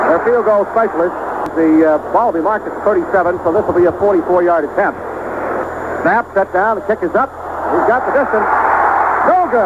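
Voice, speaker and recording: Male American radio play-by-play man for football, high voice, clipped excitable delivery, dull old 1970s broadcast sound. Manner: clipped short phrases, pitch climbing excitedly as plays develop. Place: outdoor stadium broadcast position. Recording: noisy band-limited 1970s radio broadcast.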